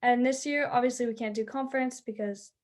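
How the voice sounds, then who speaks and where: A teenage girl who plays high school softball, chatting eagerly in an interview with lots of fillers. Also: fairly even intonation, a little fast. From Southern California. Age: teens. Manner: bubbly, chatty, many "like" and "um" fillers. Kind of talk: interview answers.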